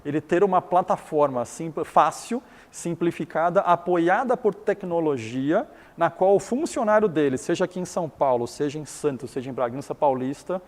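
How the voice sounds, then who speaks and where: A man's voice: fairly high pitch, normal speed, few pauses, big-room sound. A middle-aged man from Taiwan whose first language is Chinese, explaining his business in an interview, often restarting and repeating words.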